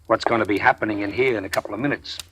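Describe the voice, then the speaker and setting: A man playing a wary middle-aged gangster who was once a preacher, folksy and drawling, with quiet menace, in 1940s radio drama style. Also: lowish voice, very fast, slight background noise, natural voice.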